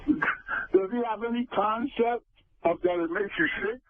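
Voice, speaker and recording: Thin phone-like radio line, man, very high voice, needling, casual teasing. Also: measured pace, a little hiss or hum. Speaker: radio show contributor.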